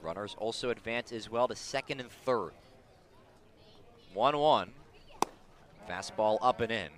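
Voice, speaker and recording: A man's voice: lowish voice, a little slow. A man calling baseball play-by-play for live radio, describing each moment in detail and rising with the action. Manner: descriptive, rising excitedly on big plays. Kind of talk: live radio sports commentary.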